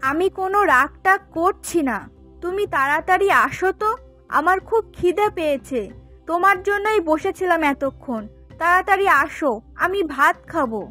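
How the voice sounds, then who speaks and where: A woman's voice: extremely high pitch, expressive, moderate pace, sharp tone, soft background music, exaggerated voice. A woman playing a hardworking, plain-spoken village fish seller and impatient wife in a cartoon, chatty and colloquial. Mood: irritated. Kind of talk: Bengali fairy tale cartoon dubbing.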